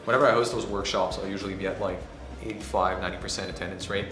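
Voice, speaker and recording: Hoarse man vlogging in an echoey room. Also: deep voice, fairly even intonation, racing, music in the background. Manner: casual, swears, talks softly to spare his voice. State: hoarse, recovering from voice loss. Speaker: ads agency owner hosting a workshop. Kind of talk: casual vlog talk to camera.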